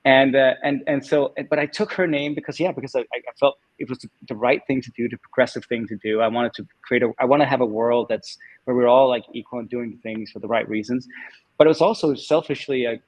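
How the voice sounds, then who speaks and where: Male guest, 40s, Danish native with a Californian accent, mid-pitched, easygoing and talkative, dry room. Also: fairly even intonation, fairly quick, dry recording, poor audio. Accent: Californian American. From Denmark. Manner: chatty, laughs often, many fillers. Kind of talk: casual interview storytelling.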